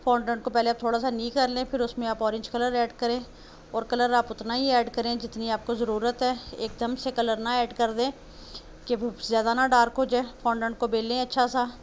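Pakistani lady talking thru cake decorating at home, kinda higher voice, faint hiss behind. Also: flat delivery, moderate pace. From Pakistan, Punjab. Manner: chatty, homely step-by-step instructions. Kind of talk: casual voice-over tutorial. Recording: slightly muffled voice-over with faint noise.

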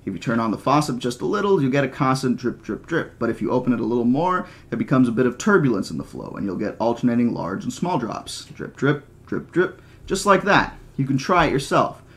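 A man reads the part of a mathematician in a novel, certain of himself and condescending. He lectures at a middle pitch, didactic and flatly insistent, as in an audiobook. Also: fairly quick, few pauses. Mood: matter-of-fact lecturing. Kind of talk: audiobook character reading.

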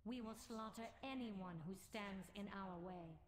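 A woman playing a grim, proud, scornful undead warrior queen in a video game, with a husky voice and an imperious, dramatic delivery. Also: mid-range voice, unhurried, natural voice. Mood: cold, menacing. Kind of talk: video game character acting.

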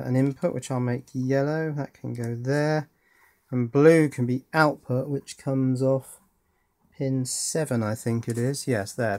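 Male electronics hobbyist presenter, mid-pitched voice, informal running commentary while working at a bench. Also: darkish tone. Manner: thinking aloud, informal. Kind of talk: casual hands-on demonstration commentary. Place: indoors at a workbench. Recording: music playing in the room.

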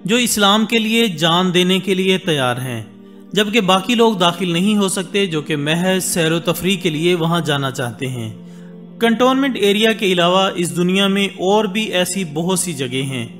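A man with a high voice reads a scripted documentary narration in a measured, formal way. Soft music plays under him throughout. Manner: measured, formal Urdu delivery with Arabic religious phrases. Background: soft background music.

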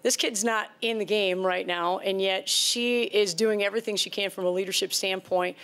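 A woman in her forties talks earnestly into a table microphone at a postgame press conference. She speaks at a mid pitch in a loose, conversational way and doubles up words as she restarts her thoughts. The sound is close and clean. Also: fairly even intonation, normal speed, fairly bright tone. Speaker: head basketball coach. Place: press conference room before a sponsor backdrop.

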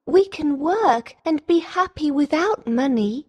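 A woman voicing a kind, modest young daughter in a storybook, speaking gently. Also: high-pitched, expressive, a little slow, long reverb tail, voice pushed up. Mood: gentle, consoling. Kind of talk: storybook character voice.

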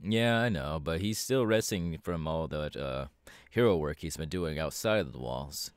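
Casting: male actor for cocky, easygoing young winged hero, low register, very animated intonation, slangy and hesitant, audio roleplay. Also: clean tone, no echo, studio quality. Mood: musing, easygoing. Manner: casual, hesitant pauses, slangy. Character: laid-back, cocky young male winged superhero and model. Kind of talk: ASMR audio roleplay, casual conversational acting.

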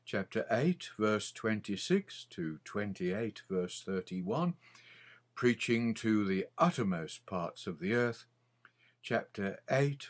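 Older male author, scripted religious reading, slightly low pitch, unhurried delivery, frequent pauses. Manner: measured, deliberate phrasing with frequent pauses. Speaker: author reading his Bible commentary. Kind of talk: scripted reading, Bible commentary.

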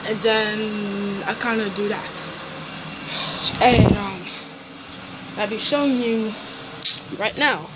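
A man's voice: high-pitched, fairly even intonation, slow, pauses often, heavy background noise. A young man casually rambling through a home vlog, full of fillers and restarts, recorded on a phone in a small dim bedroom. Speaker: YouTuber. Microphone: phone camera moved around room.

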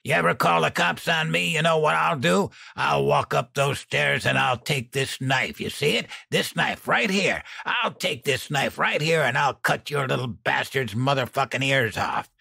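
A male reader acts out a mean, drunken smoker in an audiobook. His voice is raspy and gravelly, with coarse slang snarled out in a bullying, sneering way. The sound is close and dry. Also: medium pitch, expressive, a little slow, sharp tone, no echo, pitched above the performer's own voice, full character voice. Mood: threatening. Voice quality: raspy, croaking. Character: violent, sneering, drunken smoker, man with criminal past.

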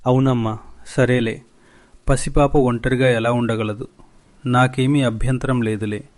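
A man's voice: medium pitch, fairly even intonation, a little slow, a little mellow, close to an everyday voice. A man playing a kind, paternal, wealthy village employer in a moral cartoon. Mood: kindly. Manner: benevolent, paternal. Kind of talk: Telugu moral cartoon dialogue.

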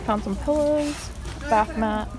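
A woman's voice: fairly high pitch, slow. A young woman talking casually into her phone camera while shopping and riding in a car, with lots of "like" fillers. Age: young adult. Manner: chatty, filler-heavy, lots of "like". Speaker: vlogger. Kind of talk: casual shopping vlog chat. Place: in a car and in stores. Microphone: phone camera at arm's length. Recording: loud road and street noise.

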